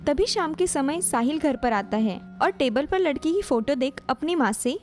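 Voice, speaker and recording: A woman narrates a cartoon family story in a high-pitched voice. She reads from a script as a storyteller, with soft music underneath. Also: lively intonation, normal speed.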